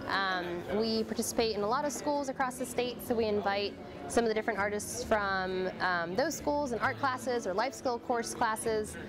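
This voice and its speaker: A woman's voice: on the high side, moderate pace, over music. A young woman organizing an art expo, talking casually in an indoor hall with frequent ums and restarts. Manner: relaxed, many ums and restarts. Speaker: program organizer. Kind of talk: casual interview.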